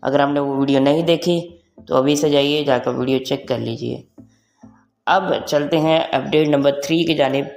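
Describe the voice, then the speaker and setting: A young man presents a casual tech tutorial. His voice sits at a fairly high pitch for a man, and he slips English words into his speech. Music plays behind him. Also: steady tone, normal speed, gravelly, darkish tone. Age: young adult. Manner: mixes English words and phrases into talk. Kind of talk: casual spoken tutorial for online viewers. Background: background music.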